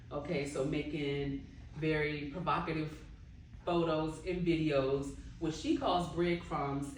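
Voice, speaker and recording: A woman's voice: deep voice, a little hiss or hum. A woman author speaking conversationally to an audience about her novel, with fillers and laughter. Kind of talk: book talk with audience. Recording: echoey room, distant mic.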